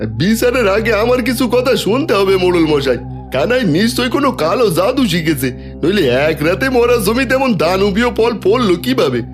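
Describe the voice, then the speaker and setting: A scheming, envious villager, acted by a man in a cartoon folk tale. His fairly high voice is urgent and insinuating, with music playing behind it. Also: sing-song, moderate pace. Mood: accusing, urgent. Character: cunning, jealous scheming villager, adult man. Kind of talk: cartoon story dubbing.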